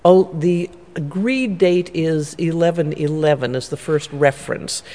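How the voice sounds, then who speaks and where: A man speaking as a church historian, explaining history in a measured, knowledgeable way inside a church. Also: a little high, warm tone, in a large hall. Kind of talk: explanatory interview answers.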